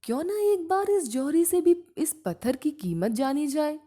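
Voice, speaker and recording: A woman's voice: high voice, sing-song, moderate pace, dark tone, voice pushed up. A woman voicing an earnest, questioning male shop worker in a storybook tale, with a direct manner. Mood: curious.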